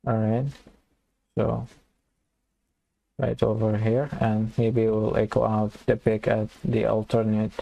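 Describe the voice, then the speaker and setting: A man with a low, gravelly voice talks through a programming lesson as he types, often restarting his phrases. His tone is dark and mellow, slightly hoarse, and his delivery stays level and unhurried. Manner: thinks aloud, restarts phrases while typing code. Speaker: programming tutor. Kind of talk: casual screen-recorded coding tutorial.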